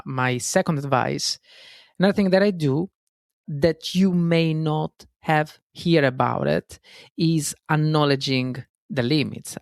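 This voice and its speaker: Italian-accented male podcast host, gravelly, earnest and self-correcting, dry close sound. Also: fairly high pitch, no echo. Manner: earnest, self-correcting, frequent fillers and "guys". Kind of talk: solo self-help podcast monologue.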